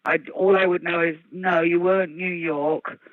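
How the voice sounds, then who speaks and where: A woman with a Birmingham accent and a gravelly voice, chatting casually and laughing easily. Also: fairly low pitch, steady tone, normal speed, no echo. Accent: Birmingham English. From English Midlands. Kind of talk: casual chat. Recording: dull lo-fi dry recording.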